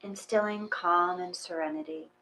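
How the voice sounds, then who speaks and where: A woman reading a guided meditation in a gentle, soothing, unhurried manner. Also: lowish voice, fairly even intonation, a little hiss or hum, poor audio.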